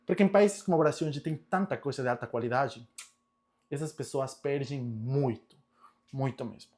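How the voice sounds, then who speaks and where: A man with a Spanish-speaking Latin American accent delivers a personal opinion monologue to his followers. His voice sits at a medium pitch. He speaks emphatically, often repeating key words to drive them home. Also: moderate pace, darkish tone. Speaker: social media content creator.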